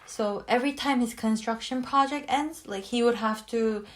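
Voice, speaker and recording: A woman in her twenties from South Korea, co-hosting a casual podcast and talking with many pauses and fillers. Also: a little high. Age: 20s. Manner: thoughtful, many fillers and restarts. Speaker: podcast co-host.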